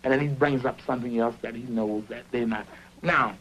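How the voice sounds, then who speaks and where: An actor playing an excitable, worrying construction worker who rambles insistently, stammering and repeating himself in conversational cartoon dialogue. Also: male voice, mid-range voice, fairly quick, highs cut off, slight background noise, close to an everyday voice. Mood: agitated. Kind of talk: improvised conversational dialogue in an animated short.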